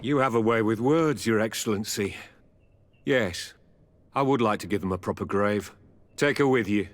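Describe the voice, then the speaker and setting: An actor playing a stern, honourable army captain in a video game, speaking in a curt, formal, deliberate way. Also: male voice, lowish voice, close to an everyday voice. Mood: reluctant. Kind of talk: video game dialogue acting.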